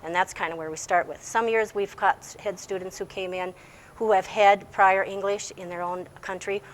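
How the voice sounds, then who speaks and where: A woman's voice: medium pitch, fairly even intonation, normal speed, rough recording. Woman, schoolteacher in an interview. Explanatory, plain-spoken delivery, slight background noise. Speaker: English language teacher.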